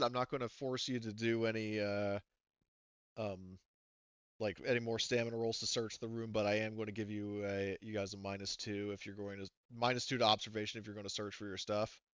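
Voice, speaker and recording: A low, smooth male voice, close and dry on a slightly dull microphone. He works as game master, thinking aloud and pausing often as he sorts out rules for the table. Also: a bit bright, no room sound. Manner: halting, thinking aloud while adjudicating rules. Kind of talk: running a tabletop role-playing game.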